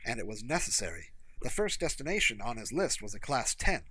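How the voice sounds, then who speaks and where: Bright-voiced man narrating fiction, very lively intonation. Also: mid-range voice, a little fast, slight background noise. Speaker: audiobook narrator.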